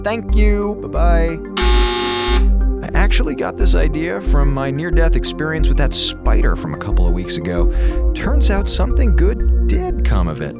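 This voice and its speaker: A man's voice: a little high, big pitch swings, moderate pace, loud music behind the voice. A man playing a sardonic, melodramatic communications officer on a space station in an audio drama, with a theatrical, mock-solemn delivery. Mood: flippant, impatient. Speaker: communications officer recording a log. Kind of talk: audio drama acting, log narration.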